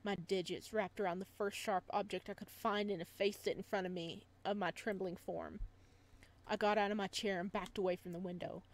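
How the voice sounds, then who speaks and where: A woman's voice: mid-range voice, steady tone, a little fast. A woman playing through a visual novel, reading it aloud with dramatic narration, occasional stumbles and casual asides. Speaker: video game playthrough host.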